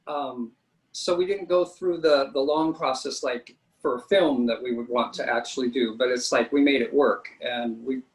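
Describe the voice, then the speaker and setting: A man's voice: mid-range voice, steady tone, normal speed, low-quality recording. A man working as a special effects artist, telling stories in a chatty, enthusiastic way during an interview. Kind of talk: casual interview answers.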